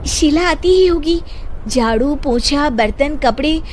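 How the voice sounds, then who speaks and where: Woman acting a high-pitched, animated young daughter-in-law. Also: slow pace. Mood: polite. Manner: deferential, expressive. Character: young working daughter-in-law, polite, anxious, pleading. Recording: clearly noisy background.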